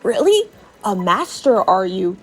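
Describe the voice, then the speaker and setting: A woman's voice: mid-range voice, very animated, measured pace, slightly rough, pitched below the performer's own voice. A woman voicing a fussy elderly male professor in a video game, with hesitant, old-fashioned, teasing phrasing. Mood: cautious, needling.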